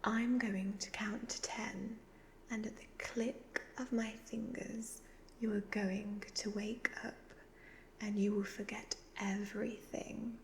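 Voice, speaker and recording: Woman doing an evil seductive witch for asmr, half whispering, creaky n really slow, gloating. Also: mid-range voice, little pitch movement. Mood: calm, commanding. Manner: slow, deliberate, hypnotic, condescending. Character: evil ageless witch, youthful-looking, gloating, seductive, predatory. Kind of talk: soft-spoken ASMR roleplay. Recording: faint background noise.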